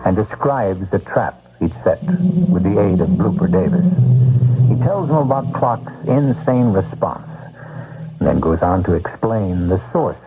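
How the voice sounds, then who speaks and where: A man's voice: low voice, lively intonation, measured pace. A man narrating a scripted radio serial as a grave storyteller. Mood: measured. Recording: lo-fi old radio recording, music behind.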